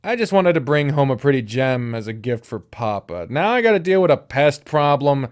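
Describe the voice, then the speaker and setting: A man voicing a blunt, grumpy young male mercenary in a storybook reading, close to his own lively voice. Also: medium pitch, normal speed, natural voice. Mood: grumbling. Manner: blunt, swears.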